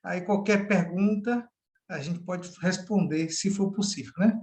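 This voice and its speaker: A man's voice: high voice, unhurried, darkish tone. A middle-aged man from Salvador, a pastor giving an informal Bible study over a video call, chatty and often ending with a tag question. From Salvador, Bahia, Brazil.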